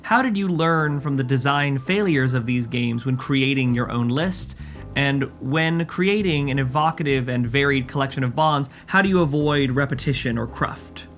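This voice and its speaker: A woman's voice: very deep voice, moderate pace. Woman hosting a podcast, music faintly behind. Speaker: podcast host and game master. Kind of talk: scripted-sounding podcast hosting and interviewing.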